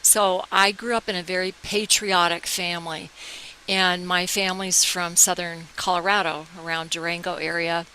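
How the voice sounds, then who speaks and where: A woman's voice: lowish voice, little pitch movement, normal speed, pushed and bright, low-quality recording. An older woman with an American accent, reminiscing in a casual, rambling storytelling way as an interview guest. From United States, military family abroad. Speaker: interview guest, organization founder. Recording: clear hiss behind the voice.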